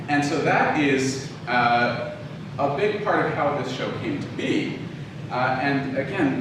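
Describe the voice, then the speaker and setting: Man lecturing in a very echoey room. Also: medium pitch. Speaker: lecturer, audio drama producer. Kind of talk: informal public lecture with audience questions. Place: indoor room talk before an audience. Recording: very echoey room, distant mic, noisy.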